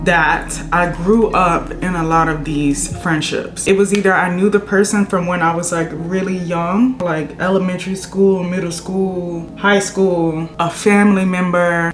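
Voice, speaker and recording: A woman's voice: on the low side, roomy sound. A woman in her late twenties chatting casually and venting while doing her makeup at home, rambling a little before coming back to her point. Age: 20s. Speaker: beauty vlogger. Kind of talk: casual get-ready-with-me chat. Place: her apartment.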